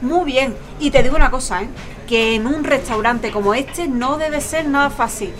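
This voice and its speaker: A woman's voice: high-pitched, moderate pace, over music, lightly acted. A woman playing a forthright, bossy middle-aged mother with an Andalusian accent in an audio drama. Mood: approving. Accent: Andalusian Spanish. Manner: emphatic, bossy. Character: forthright, recycling-zealous family mother.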